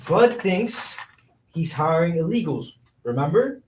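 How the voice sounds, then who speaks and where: A man's voice: on the high side, big pitch swings, a little slow. A man playing a confident, scheming construction worker in a staged reading of a play. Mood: insistent. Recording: roomy, distant, noisy microphone.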